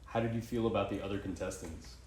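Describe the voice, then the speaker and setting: A young man putting on a deep voice to play an offscreen male interviewer, asking questions in a flat, deadpan way. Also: quick pace, lightly acted. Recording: roomy echo.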